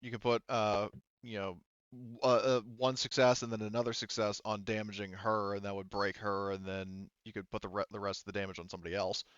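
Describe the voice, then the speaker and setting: A man's voice: fairly low pitch, little pitch movement, fairly quick, fairly bright tone, smooth, no room sound, lo-fi sound. A man acting as game master of a tabletop roleplaying game, casually talking players through rules and results.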